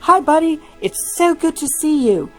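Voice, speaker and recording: Woman acting a wry, higher-pitched young woman. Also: lively intonation, moderate pace, fairly bright tone, soft background music. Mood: affectionate. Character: tired, sarcastic, caring young woman, overworked office adventurer. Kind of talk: fan fiction reading.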